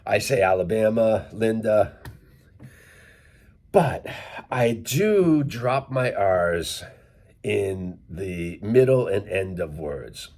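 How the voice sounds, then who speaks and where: A man's voice: on the low side, animated, drawn-out words, long pauses, darkish tone. A middle-aged man from Worcester, Massachusetts, with a light local accent, explaining English sounds casually to his viewers and spelling out words step by step. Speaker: English teacher vlogger. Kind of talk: casual teaching talk to camera.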